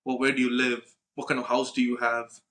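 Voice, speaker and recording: A young man chats at a quick clip in a mid-pitched voice, like a podcast host swapping stories. His delivery is informal and peppered with swearing, and he replays conversations line by line, tagging his points with "right?". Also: monotone, fairly bright tone. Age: young adult. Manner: informal, slangy, acts out dialogue, frequent "right?" tags. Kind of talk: casual anecdotal storytelling with profanity.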